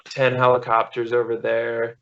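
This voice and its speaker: American guy in his 30s, crackly bright voice, rambly casual chat, slightly muffled call audio. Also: mid-range voice, monotone, normal speed. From small-town Missouri, USA. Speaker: podcast guest, stuntman and actor. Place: living room at home, on video call.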